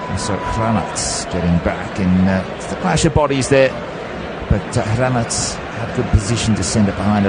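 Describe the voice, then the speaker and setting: A man giving live football commentary, lifting his voice on chances and stumbling now and then over player names. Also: lowish voice. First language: not Czech. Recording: loud background noise.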